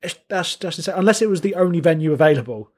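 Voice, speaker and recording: A man's voice: a little high, lively intonation, fast talker, darkish tone, breathy edge. A man with a Buckinghamshire countryside accent chatting on a podcast, often repeating words as he gathers his thoughts and reacting with enthusiasm. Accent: Buckinghamshire, English countryside. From Buckinghamshire area, England. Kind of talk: casual podcast chat.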